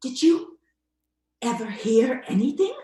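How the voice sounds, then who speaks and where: An actress playing a stately, probing married sister in an old-time radio drama. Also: female voice, on the high side, big pitch swings, slow pace, mellow, reverberant. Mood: probing, uneasy.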